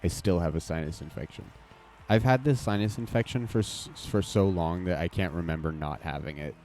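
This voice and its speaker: A man's voice: sits low, brisk, a little mellow, a little hiss or hum. A man streaming a game, reacting casually and swearing at the play as it happens. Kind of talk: live gameplay commentary.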